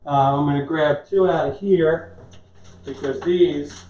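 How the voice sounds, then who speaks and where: A man's voice: a little high, steady tone, measured pace, a little mellow, highs cut off. Joking middle-aged man, echoey kitchen sound. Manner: joking, conversational. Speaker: chef and cooking show host. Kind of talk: casual live cooking demonstration. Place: home kitchen, indoors.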